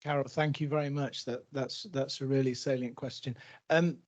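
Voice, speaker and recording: A man's voice: mid-range voice, fairly even intonation, normal speed, darkish tone, dull audio. A man, an NHS executive, answering a question at a public meeting in an earnest, emphatic way with frequent restarts.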